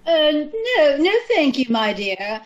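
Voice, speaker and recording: Woman acting a high, lilting society matron. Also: expressive, a little slow, muffled recording. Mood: politely aloof. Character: genteel society matron walking her Pekingese. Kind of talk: old-time radio comedy acting.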